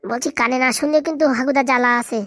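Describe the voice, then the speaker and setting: A woman plays a fretful little schemer of a village sidekick in a comic cartoon. The voice is high and chatters extremely fast, deferential, in dialect. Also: steady tone, a bit bright, no room sound, clean studio sound. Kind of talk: comic Bengali cartoon dialogue.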